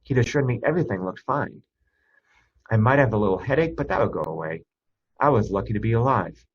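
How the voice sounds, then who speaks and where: A man with a husky voice reads a suspense short story aloud in a tense, expressive first-person style. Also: lowish voice, brisk, dull audio. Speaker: story reader. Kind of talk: dramatic scripted narration of a suspense short story.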